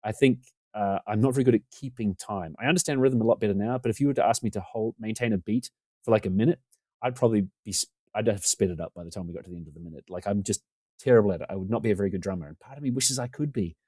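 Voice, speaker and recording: A middle-aged New man talks fast and keeps rambling on, poking fun at himself. His voice sits low and mellow, with a dark, relaxed tone. It sounds dry and close, as on a remote call mic at home. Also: no room sound. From New Zealand. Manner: talkative, rambling, self-deprecating. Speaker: podcast guest. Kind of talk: casual conversation. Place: home room.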